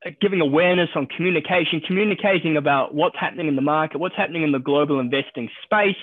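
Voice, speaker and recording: A man's voice: on the high side, dry recording, narrow, tinny audio. A man from New Zealand with a New Zealand accent, a stockbroker talking casually as a podcast guest, upbeat and often restarting his sentences. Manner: quick, upbeat, repeats words when starting sentences. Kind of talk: casual interview talk.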